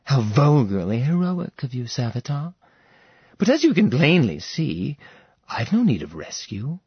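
A regal, menacing goddess, as a male narrator puts her on, taunting her visitors with theatrical relish and dropping to a hushed, threatening whisper. The voice is somewhat husky and dry, with a slightly dull, muffled sound. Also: medium pitch, sing-song, measured pace, no echo, voice pushed up, heavily acted. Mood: mocking. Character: regal menacing goddess woman, bloodthirsty and mocking.

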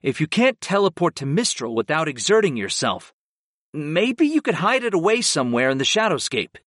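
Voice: mid-range voice, big pitch swings, normal speed, a bit bright, dry recording, studio quality. A narrator voicing a brusque male fantasy hero who commands demons, blunt and matter-of-fact, in an audiobook.

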